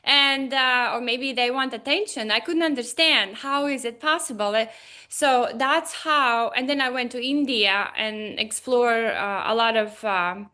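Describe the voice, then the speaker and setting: A woman in her forties from Latvia with a Latvian accent, a guest on a video call speaking animated, slightly broken English. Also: a little high, unhurried, clean tone. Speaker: guest, Chinese medicine doctor. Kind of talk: casual interview talk.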